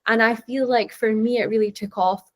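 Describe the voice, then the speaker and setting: A woman's voice: on the high side, fairly even intonation, moderate pace. A Scottish woman in her twenties, a panelist answering a question on an online call with earnest, reflective storytelling. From Scotland.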